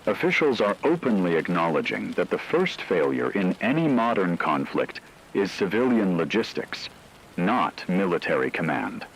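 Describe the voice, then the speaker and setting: A man playing a grave radio anchor, delivering a scripted emergency broadcast in a measured, formal manner. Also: deep voice, big pitch swings, breathy edge, exaggerated voice, poor audio.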